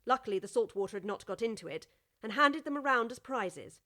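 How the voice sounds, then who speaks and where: A woman's voice: fairly high pitch, lively intonation, fairly quick, a bit bright. A woman narrator reading a scripted children's audiobook story.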